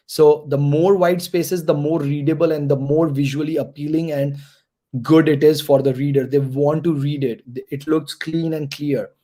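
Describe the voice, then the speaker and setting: A man's voice: a little high, warm tone. A man mentoring students through an online career workshop, repeating key points and checking in with the audience.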